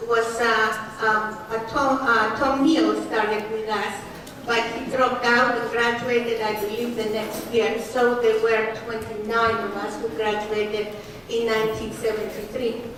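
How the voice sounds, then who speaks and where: A woman's voice: a little high, flat delivery, measured pace. Greek-accented older woman in an echoey hall. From Cyprus. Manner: hesitant, with many fillers and restarts. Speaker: nursing graduate speaking at an anniversary event. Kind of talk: reminiscing speech to an audience. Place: large room with an audience. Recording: very echoey hall, voice from far off, faint noise.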